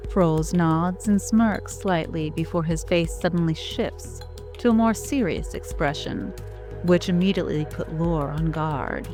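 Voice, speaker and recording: A woman narrates an audio drama in a low voice. Her delivery is slow and measured, like scripted storytelling, with some music underneath.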